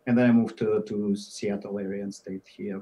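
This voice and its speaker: A man in his forties with a Russian accent, answering interview questions in a halting way, with many fillers and trailing off at the ends of phrases. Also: fairly low pitch, little pitch movement, mellow. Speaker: guest software engineer.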